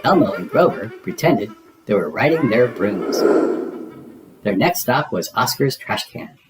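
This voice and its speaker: A man reading a children's book aloud in an expressive storytelling style, acting out the dialogue. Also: lowish voice, measured pace, very noisy. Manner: animated, acts out character dialogue.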